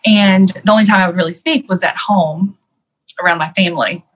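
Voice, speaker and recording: Low-voiced woman telling her story openly. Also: steady tone, a little fast, slight background noise, rough recording. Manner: reflective and open, with frequent "um" and "you know". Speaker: interview guest. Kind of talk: candid personal storytelling in conversation.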